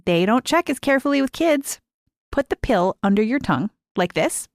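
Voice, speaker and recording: A woman's voice: a little high, lively intonation, moderate pace, no room sound, studio quality, voice pushed up. A woman voicing a bold, stubborn young girl in a story, insistent and impatient, scheming away. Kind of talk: acted dialogue in story narration.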